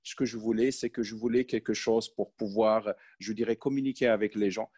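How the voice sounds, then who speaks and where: This is a man from Morocco in his forties, a guest on a podcast. His voice sits low. He talks easily but hesitantly, full of little fillers and restarted phrases. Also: steady tone, a little fast, a little mellow, husky. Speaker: podcast guest, sales manager. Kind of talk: conversational interview.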